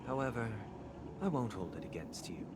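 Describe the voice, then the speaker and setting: An actor playing a soft-spoken, zealous game villain who is formal, courteous and self-righteous in a measured, lofty way. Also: male voice, medium pitch, very animated, heavy background noise, lightly acted. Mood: courteous, composed. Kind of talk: scripted game voice acting.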